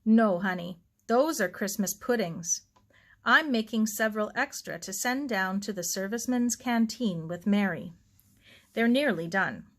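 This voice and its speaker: A woman voicing a sensible middle-aged aunt, kindly but brisk, with a measured, gently chiding manner. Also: mid-range voice, close to an everyday voice.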